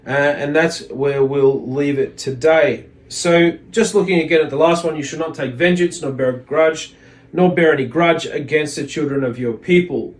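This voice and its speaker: A man's voice: a little high, moderate pace. A man giving an informal religious lesson, reading aloud and explaining in a hesitant, reflective manner. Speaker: religious teacher.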